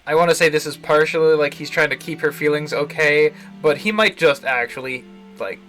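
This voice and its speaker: A man's voice: fairly high pitch, fairly quick, fairly bright tone. A man streaming a visual novel with casual, amused, wry commentary over the game's music. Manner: amused, wry, frequently chuckling. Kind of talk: casual live game commentary. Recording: music playing behind the voice. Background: visual novel music and Japanese voice acting.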